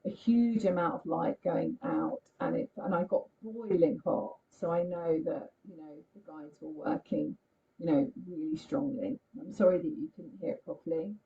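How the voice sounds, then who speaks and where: A woman astrologer giving a casual, chatty talk on a live stream, croaky-voiced, often checking in with "okay?". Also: fairly low pitch, moderate pace. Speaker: astrologer and healer. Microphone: computer mic on a video call. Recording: muffled lo-fi stream audio.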